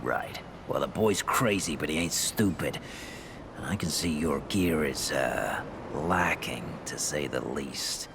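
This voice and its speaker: An actor playing a gruff, grudging male supply merchant in a video game, grumbling wryly in folksy, colloquial speech. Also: rumbling low, slow pace, noisy background, full character voice. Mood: wry, sceptical. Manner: grumbling, wry, colloquial drawl with folksy grammar. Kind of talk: video game character dialogue.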